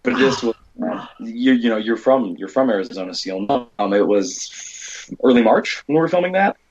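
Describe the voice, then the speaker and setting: A man's voice: mid-range voice, moderate pace, breathy edge, dull audio. An American man telling rambling anecdotes as an interview guest, casually quoting the people in his stories. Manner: rambling, animated retelling with quoted dialogue. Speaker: guest, indie horror filmmaker and stunt performer.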